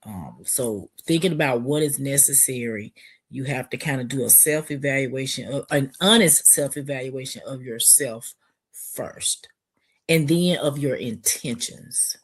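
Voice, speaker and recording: A woman's voice: a bass voice, a little slow, husky. A woman author talking in an earnest, reflective podcast conversation, asking herself searching questions. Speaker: author and guest. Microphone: remote video call.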